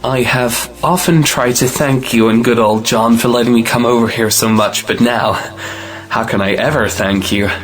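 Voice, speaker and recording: A woman acting a cocky, amorous young gentleman suitor in a stage comedy audio play. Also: rumbling low, fairly even intonation, normal speed, soft background music. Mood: eager, grateful.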